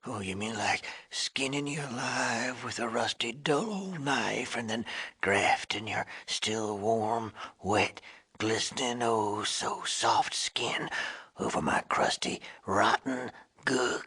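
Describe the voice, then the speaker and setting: An actor voicing a cranky, joking old male ghoul in a video game, with a wheezy, cracked rasp and a folksy drawl. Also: mid-range voice, slow pace, sharp tone, heavily acted. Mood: mock menace. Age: older. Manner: folksy drawl, wisecracking, wheezy cackles and coughs. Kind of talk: video game character acting.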